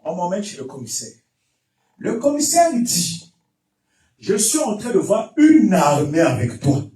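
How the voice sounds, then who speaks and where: In a reverberant church sound system, set back from the mic with music behind, a man preaches with a Congolese French accent. His voice rides very high and swings widely as he drives his exhortations home with emphatic repetition, often shouting. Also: huge pitch swings, a little slow, far from the mic. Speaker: pastor preaching. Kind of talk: fervent Pentecostal-style sermon with testimony and call-and-response.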